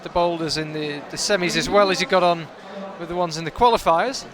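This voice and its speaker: A man with a fairly high voice calls a climbing competition live, chatty and analytical. He often restarts his sentences as he works through the moves. A loud crowd fills the big hall behind him. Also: brisk. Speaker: climbing commentator. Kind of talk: live sports commentary.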